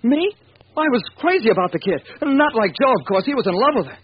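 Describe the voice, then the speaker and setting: An old, dull radio recording with some hiss features an excitable, earnest man. His voice sits high and swings widely as he speaks with emphatic period radio delivery. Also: fast talker. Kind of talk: 1940s radio acting.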